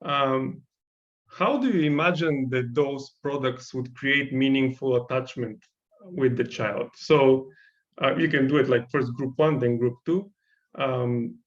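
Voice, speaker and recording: A man with a Bulgarian accent, whose first language is Bulgarian, teaching a workshop and giving encouraging, thoughtful feedback on student designs while often pausing to search for words. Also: medium pitch, lo-fi sound. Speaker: workshop instructor.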